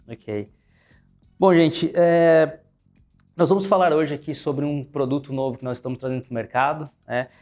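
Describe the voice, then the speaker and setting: A man with a Brazilian Portuguese accent hosting an interview, often filling pauses with "né" and "é". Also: on the high side, darkish tone, faint music behind. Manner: frequent "né" and "é" fillers. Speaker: host, pharmacy advertising manager.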